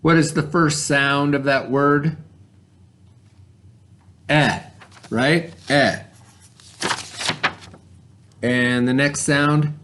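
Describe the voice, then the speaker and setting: Man, primary teacher type, unhurried and patient, question-and-answer phonics delivery, faintly noisy recording. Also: a little high, fairly even intonation. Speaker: elementary school teacher. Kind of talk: read-along spelling lesson for children at home.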